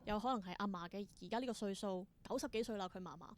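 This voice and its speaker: A woman's voice: medium pitch, quick pace. A woman with a Hong Kong Cantonese accent chatting as an interview guest, telling stories with enthusiasm and dropping in English words. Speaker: interview guest, independent theatre performer. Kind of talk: casual interview chat.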